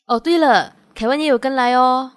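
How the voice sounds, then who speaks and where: A woman's voice: sits high, moderate pace, close to an everyday voice. A young woman acting in a radio drama as a sweet, devoted girlfriend who is easily wounded. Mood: chirpy, casual. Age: young adult.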